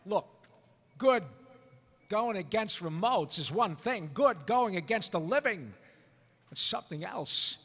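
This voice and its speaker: A man's voice: high-pitched, animated, slow pace, pauses often, fairly bright tone, husky, exaggerated voice. A man voicing a cocky, cynical space smuggler, brash and sarcastic, in a comic live table read on a hall stage. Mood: cocky, dismissive. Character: cocky, cynical male space smuggler as loud cartoon character. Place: large convention hall stage. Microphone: table microphone. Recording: reverberant convention hall panel.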